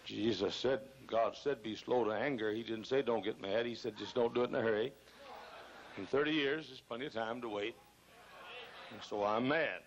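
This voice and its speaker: An older man, hoarse and gravelly, preaching an emphatic sermon at a pulpit microphone in a large church, repeating phrases and rising into loud bursts. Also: mid-range voice, moderate pace, dull audio, slight background noise. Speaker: pastor. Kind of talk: fiery sermon. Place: large church auditorium, choir behind.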